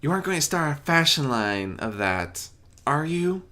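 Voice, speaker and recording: A man voicing a gentle but quietly stern female pegasus, cool and soft in manner, in a cartoon fan story. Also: fairly high pitch, big pitch swings, moderate pace, voice pushed up. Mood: cold.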